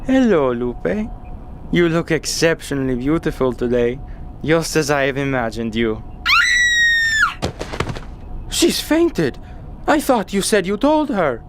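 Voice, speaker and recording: A man's voice: fairly high pitch, sing-song, slow, long pauses, very noisy. A man playing a devoted, gallant and protective father and pilot in an audio drama. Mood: gentle, then bitter.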